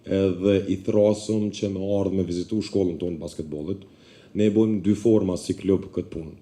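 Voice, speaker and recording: A man answers a question at a club seminar, plain spoken with a few fillers. His voice is very deep and extremely dark. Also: fairly even intonation, fairly quick. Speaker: basketball club representative.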